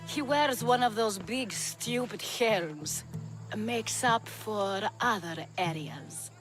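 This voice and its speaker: A woman's voice: medium pitch, expressive, music in the background. A woman playing a flirtatious, worldly, sardonic courtesan of ancient Athens in a video game. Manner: sardonic, teasing, unhurried. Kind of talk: video game cutscene acting.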